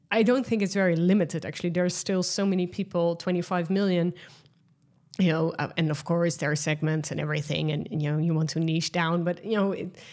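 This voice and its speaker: Chatty Dutch-accented woman, lowish voice. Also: a little mellow. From Netherlands. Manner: chatty, many fillers and restarts. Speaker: podcast host. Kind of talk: solo podcast monologue.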